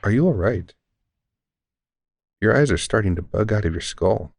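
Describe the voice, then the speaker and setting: A man playing a deranged centuries-old elf shopkeeper who is courteous, formal and unsettlingly calm, in an audio roleplay. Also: lowish voice, normal speed, creaky, no echo, clean studio sound. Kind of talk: scripted audio roleplay addressing the listener.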